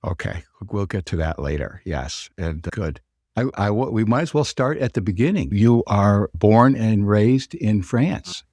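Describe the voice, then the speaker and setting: A man's voice: lowish voice, lively intonation, unhurried, darkish tone, no echo, clean studio sound. A man hosting a podcast interview, eager and chatty as he asks his guest questions. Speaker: podcast host.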